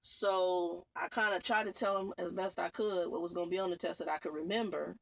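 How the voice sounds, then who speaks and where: A woman's voice: fairly low pitch, fairly even intonation, fairly quick, creaky. A woman truck driver vlogging in a casual, rambling way, repeating herself and calling her viewers y'all. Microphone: phone.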